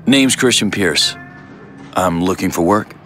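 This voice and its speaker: A deep-voiced, grave drifting farmer. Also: male voice, measured pace, sharp tone. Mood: earnest. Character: weary rural farmer and ex-militiaman, earnest drifter seeking work. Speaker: narrator and lead character. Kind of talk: dramatic scripted first-person narration. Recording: music bed under the voice. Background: prominent dramatic music.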